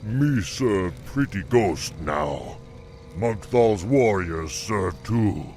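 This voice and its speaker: Ponderous, dim-witted brute warlord. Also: male voice, on the low side, lively intonation, drawn-out words, a little mellow, a little hiss or hum, heavily acted. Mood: submissive, loyal. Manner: broken, caveman grammar. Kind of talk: video game cutscene acting.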